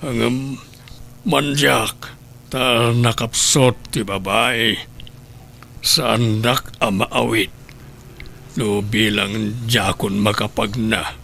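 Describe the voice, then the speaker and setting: A man's voice: on the low side, little pitch movement, very slow, creaky, pauses often, sharp tone, slight background noise, exaggerated voice. A man playing a frail, grateful elderly patient in a radio drama, with an Ilocano Filipino accent. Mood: grateful, wry.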